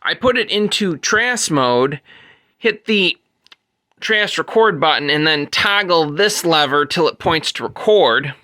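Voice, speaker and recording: A man presents a technical demonstration in a somewhat high, bright voice. He explains things methodically and in detail, speaking off the cuff and correcting himself as he goes. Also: expressive, a little slow. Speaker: presenter demonstrating vintage audio gear.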